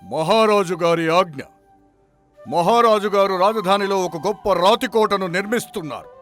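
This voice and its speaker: A man's voice: sits high, a bit bright. A man playing a stern, commanding king's soldier in a folk story, speaking with formal authority. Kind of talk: moral-story dramatization.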